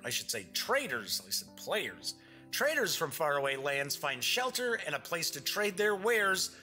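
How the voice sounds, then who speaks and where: A man hosting a casual board game news show, chatty and upbeat, his voice a bit congested and raspy. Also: sits high, pushed and bright. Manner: chatty, upbeat, reads press releases aloud.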